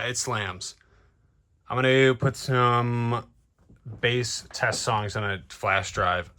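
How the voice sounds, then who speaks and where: A man's voice: mid-range voice, pushed and bright. A man talking casually in a laid-back, slangy way, like a DIY vlogger. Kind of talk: casual DIY vlog chat.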